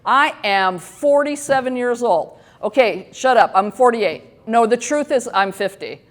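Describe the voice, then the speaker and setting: A woman in her fifties hosts a casual talk show, rambling candidly and poking fun at herself. Her voice sits a little high, and her talk is full of fillers and restarts. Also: animated, measured pace. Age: 50s. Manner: candid, self-deprecating, rambling with many fillers. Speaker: host.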